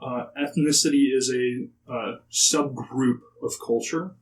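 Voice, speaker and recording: A young man asking semi-formal interview questions in a halting, hesitant way. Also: mid-range voice, fairly even intonation. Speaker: podcast interviewer. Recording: distant mic, noisy room ambience.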